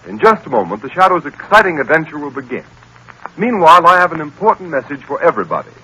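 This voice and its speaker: High, bright, dramatic American radio announcer. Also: male voice, breathy edge, poor audio. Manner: dramatic, emphatic delivery. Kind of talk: 1940s radio announcing, scripted narration.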